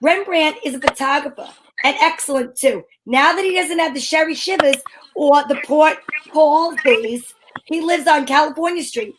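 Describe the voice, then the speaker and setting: A woman's voice: high-pitched, moderate pace, noisy background, close to an everyday voice. A woman playing a breezy, wisecracking woman detective who narrates her case in an amateur old-time radio drama, wry and slangy. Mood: wry storytelling.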